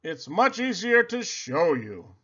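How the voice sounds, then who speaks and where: A man voicing Santa as a hearty, booming old man. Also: very animated, slow, fairly bright tone, breathy edge, exaggerated voice. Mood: jolly. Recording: dull lo-fi sound.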